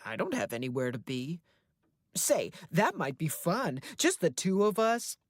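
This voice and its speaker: A woman's voice: lowish voice, big pitch swings, unhurried, dry recording, studio quality, voice pushed up. A woman voicing a possessive, menacing male creature that hides in a closet, who speaks in a coaxing, controlling way that turns into threats.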